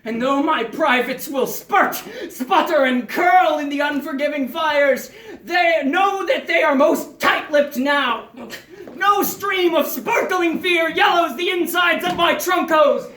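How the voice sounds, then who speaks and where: A man playing a terrified condemned prisoner who feigns calm, stammering through a theatrical, old-fashioned comic monologue on stage. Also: extremely high pitch, full character voice. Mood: frantic bluster. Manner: stammering, halting, mock-archaic bluster. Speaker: stage actor. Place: indoor theatre stage, recorded from afar. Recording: roomy distant stage recording, some noise.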